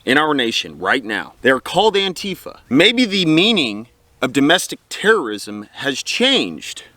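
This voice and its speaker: A man's voice: mid-range voice, wildly swooping pitch, a little slow, bright, forceful tone, no room sound. An American man giving opinionated political commentary as a host, blunt, indignant and colloquial in manner.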